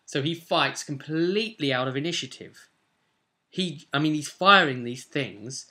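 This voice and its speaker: A man's voice: on the high side, expressive, a little slow, sharp tone. A British man in his twenties casually explaining as a game master on a vlog, rambling along with emphatic bursts. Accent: British English. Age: 20s. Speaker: game master, video host. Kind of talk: casual explanatory vlog about tabletop role-play. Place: small home room, indoors.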